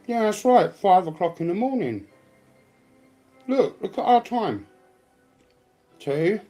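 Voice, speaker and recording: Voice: medium pitch, sing-song, slow pace, warm tone. Matter-of-fact casual talker, faint music behind. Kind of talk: casual chat.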